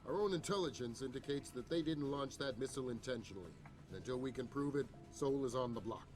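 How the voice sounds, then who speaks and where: An actor playing a measured intelligence chief who briefs the president in a game cutscene. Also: male voice, mid-range voice, darkish tone, natural voice. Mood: grave. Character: calm measured male intelligence chief, middle-aged. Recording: game soundtrack with clear music.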